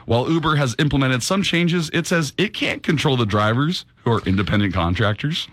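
A man hosting a studio show, reading news items with a lively, animated pitch and then chatting about them. His voice is dry and close to the mic, with no room sound around it. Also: a little fast, no room sound. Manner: reads news stories then banters. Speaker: radio show host. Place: radio studio.

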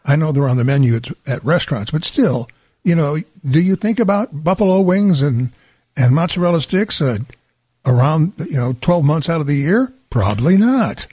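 A man's voice: a little high, lively intonation, normal speed, a little mellow, slightly rough, no echo. An older man hosting a radio segment, talking in a dry, wry, rambling way into a studio mic. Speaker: radio host. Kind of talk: casual radio banter.

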